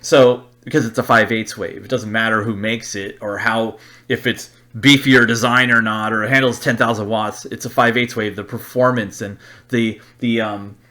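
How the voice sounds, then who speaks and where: Halting, plain-spoken man explaining hands-on gear. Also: fairly low pitch, steady tone, bright, forceful tone. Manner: halting, rambling, repeats words while thinking aloud. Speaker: presenter of an antenna review. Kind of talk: informal slide-show explainer, talking off the cuff.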